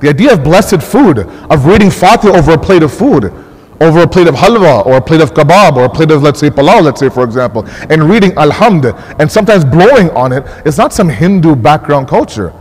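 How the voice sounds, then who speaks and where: A man's voice: fairly high pitch, lively intonation, brisk, breathy edge. A man with an American accent delivering an impassioned sermon, often repeating and restarting phrases as he builds a point. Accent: American English. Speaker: mosque speaker. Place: mosque hall. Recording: long hall reverb.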